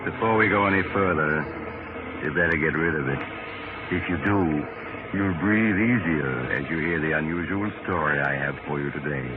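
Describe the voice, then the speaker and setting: Very deep sinister radio storyteller. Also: male voice, phone-quality sound. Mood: ominous, inviting. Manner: slow, ominous, theatrical. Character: sinister, inviting mysterious traveler storyteller. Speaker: host and narrator. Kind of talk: 1940s radio mystery narration. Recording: scratchy 1940s radio recording, music behind.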